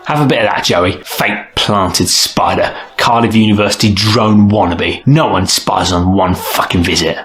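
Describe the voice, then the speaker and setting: A man's voice: fairly low pitch, normal speed, hardly any pauses, fairly bright tone, close to an everyday voice. A man playing a bitter, reckless young student son in an audio drama, clipped, sullen and sweary. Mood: angry paranoid.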